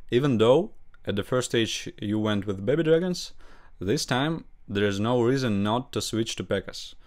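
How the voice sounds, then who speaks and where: A young man with a Russian accent, a gaming channel host commenting over his gameplay in halting English, with grammar slips. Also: lowish voice. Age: young adult.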